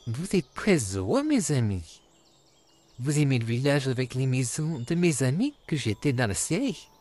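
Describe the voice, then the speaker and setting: A man voicing a friendly, courteous male puppet in an amateur comic dub, calmly addressing his friends. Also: medium pitch, normal speed. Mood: proud, cheerful. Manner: calm, courteous, repeatedly addressing listeners as friends.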